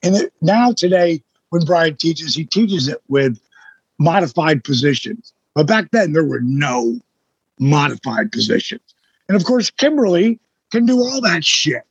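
A man in his sixties with a husky voice, a guest telling his story in a blunt, emphatic way with some swearing. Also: fairly high pitch, big pitch swings, measured pace, dry recording, dull audio. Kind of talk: casual storytelling in an interview.

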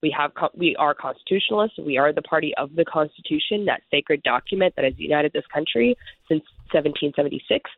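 A woman from Somalia, a congressional candidate, giving earnest, measured answers as an interview guest over the phone. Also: deep voice, animated, vocal fry, breathy edge, no room sound. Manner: earnest, measured, political talking points. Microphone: phone call. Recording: tinny phone line, dry.

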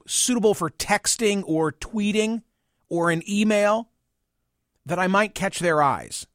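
Man, 60s, host; measured, wry monologue, high-pitched and dry. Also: a bit bright, no echo. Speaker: podcast and radio host. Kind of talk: reflective personal monologue.

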